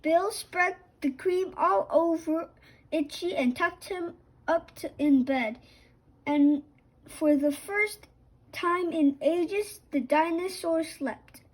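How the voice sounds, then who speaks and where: A woman reading a picture book aloud for children, in a slightly halting way. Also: extremely high pitch, poor audio. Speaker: children's story reader. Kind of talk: scripted storybook narration for children.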